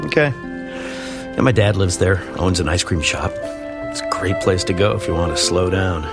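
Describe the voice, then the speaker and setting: An actor playing an easygoing, bashful single young man in an audio drama, casual, halting and self-deprecating. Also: sits low, over loud music. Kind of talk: naturalistic audio drama dialogue.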